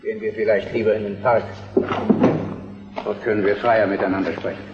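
A man plays a weary, dutiful old king in a historical radio drama. His voice is fairly low, measured and solemn. The recording is dull, with a long reverberant tail. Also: little pitch movement, over loud music, close to an everyday voice. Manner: grave, measured, formal.

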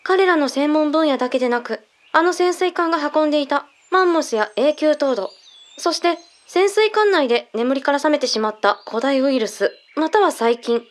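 An actress playing a calm intelligence colleague in an audio drama, measured and matter-of-fact, with blunt masculine phrasing. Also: female voice, high voice. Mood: composed, serious. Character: calm intelligence colleague briefing secret findings.

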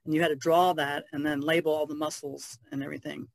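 Female podcast guest, gravelly creak, casual halting delivery, dry close sound. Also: low-pitched, little pitch movement, no room sound. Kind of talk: casual conversational recollection.

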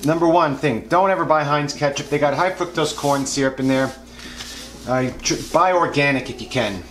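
A man's voice: on the high side, normal speed, fairly bright tone. A man giving frank, casual shopping advice as a vlog host, with lots of ums and restarts. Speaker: vlog host reviewing grocery flyers. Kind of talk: casual off-the-cuff commentary. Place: indoors at a table, hands over flyers. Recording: background music under the voice.

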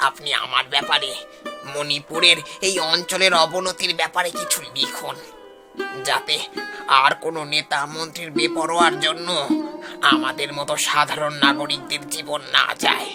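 A man voicing the ghost of a humble village carpenter and doting father, polite, plaintive and earnest, in an animated horror story. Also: a little high, normal speed, natural voice. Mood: sorrowful pleading. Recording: music behind the voice.

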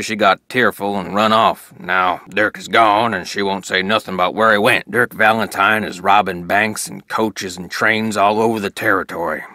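A man's voice: sits low, bright, forceful tone, no echo, studio quality. An actor playing a middle-aged, plainspoken Old West sheriff and father in a video game, reading his diary with a rural Western American accent. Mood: stern, troubled. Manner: folksy, plainspoken. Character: weathered Old West sheriff, grieving, stern, loving father.

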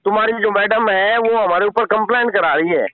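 A man with a fairly high voice talks over a narrow, tinny phone connection. He argues in an informal way, probing and pressing the other person to hear him out. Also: a little fast, no echo. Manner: informal, probing, repeatedly demanding to be heard. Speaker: scam-baiter confronting a caller. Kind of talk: casual phone argument. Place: recorded phone call.

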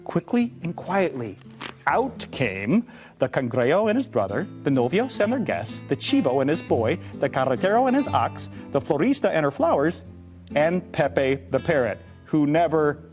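Animated man reading a children's storybook. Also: a little high, normal speed, soft background music. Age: middle-aged. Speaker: read-aloud storybook reader. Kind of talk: expressive children's storybook narration. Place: indoor studio, seated on a couch.

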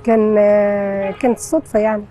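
A woman's voice: mid-range voice, flat delivery, fairly quick, dark tone, soft background music. A middle-aged woman with a Port Said Egyptian accent, telling her story casually in plain, short colloquial answers outdoors. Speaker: taxi driver being interviewed. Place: city street, outdoors. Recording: faint outdoor street noise.

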